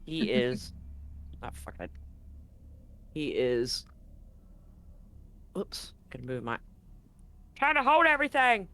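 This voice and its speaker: A man's voice: a little high, huge pitch swings, slow, long pauses, pushed and bright, smooth. A man speaking casually and with some swearing as game master of a tabletop role-playing game. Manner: casual, profane.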